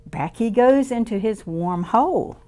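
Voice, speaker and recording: A woman reading a picture book aloud to young children in an expressive, deliberate, sing-song way. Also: a little high. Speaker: children's story reader.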